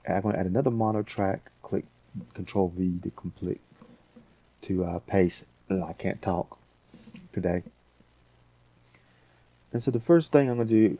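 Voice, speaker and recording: Low, mellow man teaching software casually. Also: slightly rough. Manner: rambling, thinking aloud. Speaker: tutorial host. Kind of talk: casual software tutorial, talking through steps.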